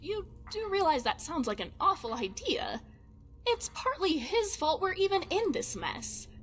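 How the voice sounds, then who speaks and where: A woman voicing a quirky, chatty, fretful young adventuring companion in a fantasy game, expressive and wry. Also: very high-pitched, unhurried, exaggerated voice. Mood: upset, indignant.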